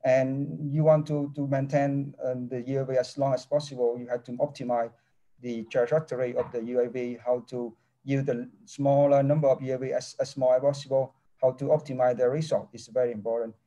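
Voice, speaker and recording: Halting, mellow-voiced professor on a video call. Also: male voice, mid-range voice, little pitch movement, rough recording. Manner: halting non-native English with many restarts. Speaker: engineering professor. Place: office with large window. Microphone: laptop webcam mic on a video call.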